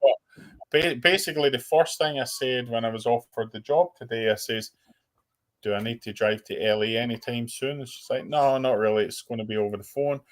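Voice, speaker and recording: A man's voice: medium pitch, fairly even intonation, moderate pace. A man calling into a casual talk show, rambling through his stories and often repeating words as he starts a sentence. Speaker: call-in guest. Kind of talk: casual chat. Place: abroad in the United States. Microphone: call-in line.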